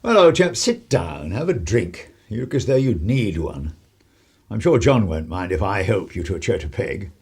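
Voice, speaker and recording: An actor playing an urbane, wry 1930s English politician in a radio play, with a husky voice and clubbable, old-fashioned phrasing. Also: male voice, deep voice, big pitch swings, moderate pace, darkish tone, full character voice. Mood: hearty.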